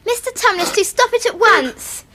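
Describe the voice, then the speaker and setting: A woman's voice: very high-pitched, lively intonation, moderate pace, bright, forceful tone, heavily acted. A woman playing a kind, polite young girl in a radio theatre drama, earnest and pleading with proper diction.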